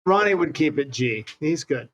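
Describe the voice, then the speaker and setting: A man's voice: fairly high pitch, animated, normal speed, a bit bright. An older man from Hawaii with an American accent, casually reminiscing as an interview guest. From Hawaii, United States.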